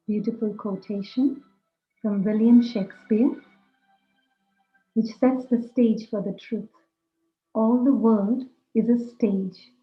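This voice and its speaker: A woman leading a guided meditation talk, speaking deliberately in short, measured phrases. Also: medium pitch. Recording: roomy echo, dull lo-fi sound, music. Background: music.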